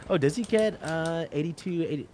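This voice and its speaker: A man's voice: on the high side, darkish tone, a little hiss or hum. An American man commentating a competitive game in an easygoing way, agreeing and laughing often. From United States. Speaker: esports commentator. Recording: game artillery and gunfire behind. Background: artillery and gunfire from the game.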